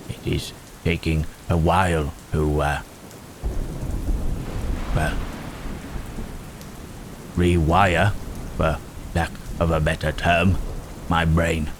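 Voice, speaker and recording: Gentle, melancholy monster, played by a man for ASMR roleplay: extremely low, slow, formal and hesitant, rain and thunder behind. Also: exaggerated voice. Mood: pensive. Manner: halting, thoughtful, old-fashioned formal phrasing with murmured tags. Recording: rain and thunderstorm noise throughout.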